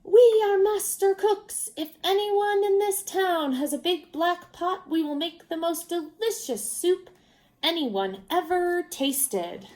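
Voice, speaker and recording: A woman voicing jolly, showy travelers from a children's storybook, calling out loudly in an exuberant singsong with drawn-out words. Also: extremely high pitch, expressive, voice pushed up, exaggerated voice. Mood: boastful, calling out. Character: two jolly, showy hungry travelers boasting as master cooks. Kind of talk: children's storybook read-aloud.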